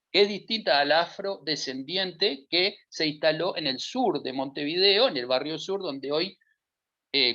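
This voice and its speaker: A man's voice: on the high side, pushed and bright. A middle-aged man from Uruguay with a Uruguayan Spanish accent, speaking emphatically on a discussion panel and piling up lists of verbs. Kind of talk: discussion talk. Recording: dull lo-fi audio.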